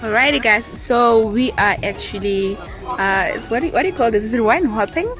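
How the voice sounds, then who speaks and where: A woman's voice: on the high side, fairly quick. A woman vlogger chatting casually with her friends on an outing. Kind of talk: casual vlog chat. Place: outdoors on a hike. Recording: music over outdoor talk.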